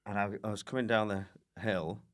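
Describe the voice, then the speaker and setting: A man's voice: lowish voice, steady tone, brisk, noisy background. A man, a comedian guest, chatting casually and telling rambling, self-deprecating anecdotes.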